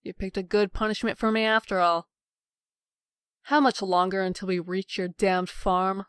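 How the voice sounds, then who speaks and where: A woman's voice: mid-range voice, steady tone, moderate pace, a bit bright, clean tone, dry recording, clean studio sound, close to an everyday voice. A woman playing a sarcastic, sulky, rebellious sister in a comic dub.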